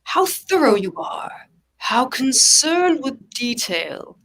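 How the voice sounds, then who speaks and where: Stately, gracious king played by a woman, higher-pitched and measured, formal delivery, noisy room behind. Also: very animated, fairly bright tone, full character voice. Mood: regal, gracious. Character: stately, gracious German king handing out rewards. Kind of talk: live cold table read of a stage comedy.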